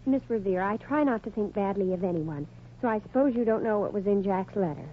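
An actress playing a refined, gentle, heartbroken young lady, polite and earnest, in a 1940s radio show. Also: mid-range voice, animated, fairly quick, lightly acted. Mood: polite, restrained. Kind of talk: 1940s radio drama acting. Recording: muffled, noisy 1940s radio recording.

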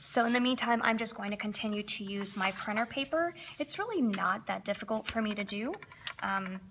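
A woman's voice: medium pitch, fairly quick, a bit bright, slight background noise. A young woman with a slightly froggy voice, chatting upbeat as she narrates her planner decorating. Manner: chatty, upbeat, lots of fillers. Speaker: planner crafting vlogger. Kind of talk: casual voice-over plan with me.

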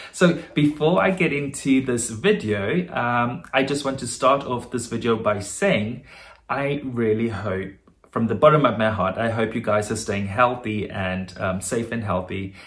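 This is a man with a South African accent who is talking casually to viewers. He has a mid-pitched voice and a relaxed manner, with lots of fillers and quick laughs between his thoughts. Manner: chatty, with frequent fillers and laughs; switches between German and English. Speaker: vlogger. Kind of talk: casual talk to camera.

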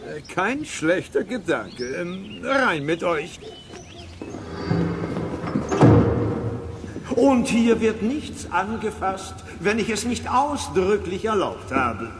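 A man's voice: high-pitched, big pitch swings, takes it slowly, few pauses, heavy background noise, full character voice. An actor playing a cranky, threatening man of a spooky house in a children's radio play, stern and bossy.

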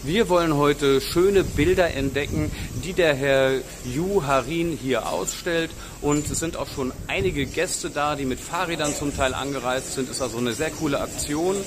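A man's voice: a little high, normal speed, hardly any pauses, noisy background. A middle-aged man vlogging to a selfie camera at arm's length, chatty and upbeat, speaking straight to his viewers. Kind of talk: casual vlog commentary to camera. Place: outdoors and inside a busy café. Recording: crowd chatter behind.

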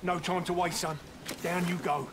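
An actor playing a gruff, middle-aged commando mentor in a war video game, foul-mouthed, sardonic and commanding. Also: male voice, high-pitched, level tone, moderate pace, background noise, close to an everyday voice. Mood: stern, commanding. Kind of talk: cinematic war game acting.

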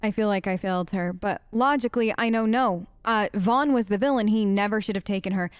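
A woman's voice: medium pitch, a little fast, smooth, dry recording. A woman doing casual improvised role-play as a gamer, talking in a hesitant, conversational way close to the mic. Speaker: let's play gamer speaking as her character.

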